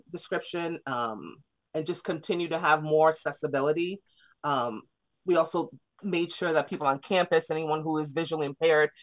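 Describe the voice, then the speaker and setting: A woman guest in a casual radio studio interview, chatty and full of fillers and restarts. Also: deep voice. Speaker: theater artist and guest.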